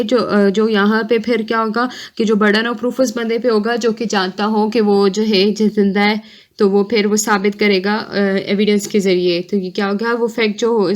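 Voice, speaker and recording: Woman teacher explaining stuff lecture style, mid pitch, keeps checking you follow. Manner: explanatory, often checking understanding with short tags. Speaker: law lecturer, online teacher. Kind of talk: informal lecture explaining legal articles, reading out and paraphrasing.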